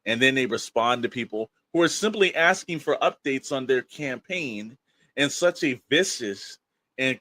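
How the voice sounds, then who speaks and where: A man's voice: medium pitch, sharp tone, no echo. A man co-hosting a podcast, giving his opinions in an emphatic, rambling, colloquial way. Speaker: podcast co-host. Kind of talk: opinionated casual podcast talk.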